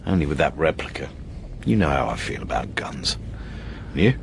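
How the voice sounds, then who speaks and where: A British actor playing a blunt, weary, sceptical police detective in a naturalistic radio drama. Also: male voice, extremely low pitch, very animated, normal speed, full character voice. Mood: bored, uneasy. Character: grumbling, sceptical male police detective, uneasy about guns. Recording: faint to clear background noise.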